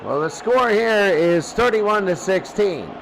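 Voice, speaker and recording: A man's voice: sits high, expressive, a little slow, fairly bright tone, rough recording. A man calling live sports play-by-play as an announcer, speaking emphatically and repeating phrases for effect.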